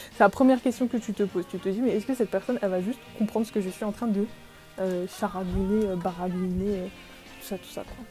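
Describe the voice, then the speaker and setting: A woman's voice: mid-range voice, steady tone, a little fast, mellow, soft background music. A young woman from France chatting casually in a podcast-style vlog, self-deprecating, with many fillers and small laughs. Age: young adult. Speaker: vlogger.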